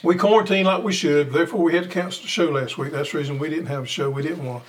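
A man hosting a garden radio show in casual chat, his voice slightly raspy as he recovers from illness. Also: on the high side, fairly even intonation, very fast. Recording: distant mic, faint noise.

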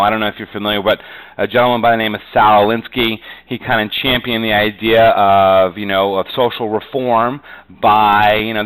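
A man's voice: fairly low pitch, fairly bright tone, smooth, low-quality recording. A middle-aged man from New England talking conversationally as an interview guest, rambling and often padding with "you know". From New England, USA. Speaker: podcast guest, real estate agent. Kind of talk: conversational interview, telling his career story.

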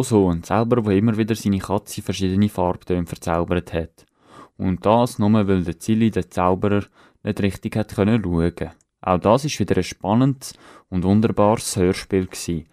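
A man's voice: sits low, warm tone. A man with a Swiss German accent hosting a radio show in a casual sign-off style. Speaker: radio host.

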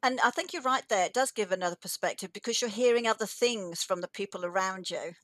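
A woman's voice: mid-range voice, quick pace, no room sound. A woman hosting an interview, prompting her guests with questions and frequent short acknowledgements. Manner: warm-toned prompting, frequent backchannels. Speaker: host.

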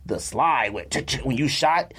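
A man's voice: medium pitch, expressive, moderate pace, a bit bright, slightly rough. A middle-aged man co-hosting a podcast, chatting casually in slang, stating opinions emphatically and repeating himself to drive them home. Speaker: podcast co-host counting down a list.

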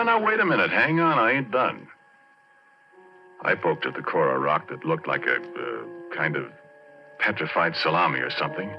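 A man playing a folksy working-class oil driller, telling an eerie tale in halting, ungrammatical speech as 1940s radio drama. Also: a bass voice, background noise, exaggerated voice. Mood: insistent, then uneasy, confiding. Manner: colloquial, ungrammatical, halting, confiding. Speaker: narrator and lead character of a radio drama. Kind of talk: 1940s radio drama first-person storytelling. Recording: dull 1940s radio recording with music.

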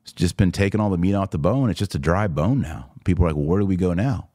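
An American man in his forties with a low voice and a dry, close sound. He talks as a podcast host on a livestream, conversational and direct with his audience. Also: fast talker, warm tone, dry recording. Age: 40s. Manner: conversational, addresses listeners directly. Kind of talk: casual livestream commentary, answering viewer comments.

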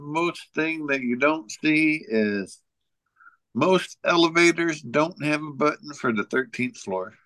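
A man co-hosting a casual podcast, reading out trivia in a halting, joking way. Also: fairly high pitch, expressive, a bit bright, rough recording. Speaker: podcast co-host.

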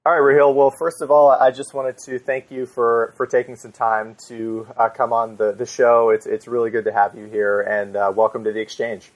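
A man's voice: medium pitch, flat delivery, moderate pace, few pauses. A man hosting an interview show, asking questions politely with many restarts and repeated words. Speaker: podcast host.

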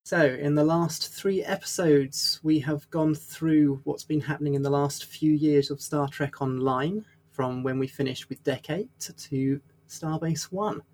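A man hosting a casual podcast, introducing his co-hosts and joking with them in quick asides. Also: fairly high pitch, darkish tone, slight background noise. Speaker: podcast host.

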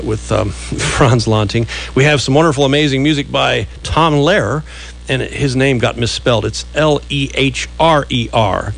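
A man's voice: mid-range voice, gravelly, a little hiss or hum, rough recording. A chatty American man co-hosting a radio show in casual, jokey talk. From United States. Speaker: co-host.

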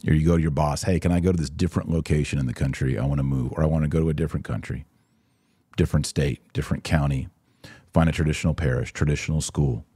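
Male podcast commentator: very low, mellow voice, conversational but emphatic delivery. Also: steady tone, fast talker, slightly rough, no room sound, studio quality. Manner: conversational, emphatic, acts out imagined exchanges. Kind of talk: casual religious commentary, answering listener questions.

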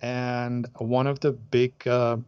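A man's voice: medium pitch, level tone, slow, a little mellow, smooth, no room sound, highs cut off. A man from Aruba who organizes group trips, chatting in an interview with many hesitant fillers and restarts. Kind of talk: casual interview chat.

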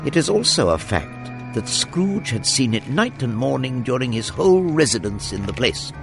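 An actor playing a wry narrator of a Victorian tale, telling it in a deliberate, theatrical way. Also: male voice, medium pitch, big pitch swings, vocal fry. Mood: wry, measured. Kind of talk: scripted narration. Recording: music under the narration.

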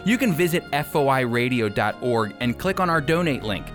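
A man's voice: medium pitch, animated, brisk, fairly bright tone, faint music behind. A man speaking as the host of a religious radio program, in a scripted presenting style.

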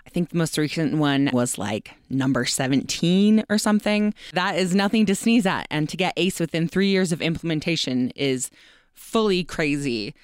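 Smooth-voiced woman hosting a podcast interview. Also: low voice, animated, normal speed, dry recording, studio quality.

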